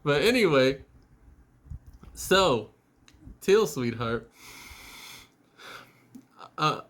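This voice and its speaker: A man giving a casual, rambling video message to a friend, sounding a little tired and out of it, halting and teasingly irreverent, with some swearing. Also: on the high side, sing-song, fairly bright tone. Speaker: spiritual vlogger.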